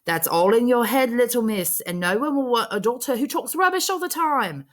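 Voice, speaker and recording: A woman's voice: on the high side, animated, normal speed, voice pushed up, close to an everyday voice. A woman voicing a stern, dismissive female orphanage warden from a storybook, speaking in a harsh, emphatic scold. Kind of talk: storybook character voice.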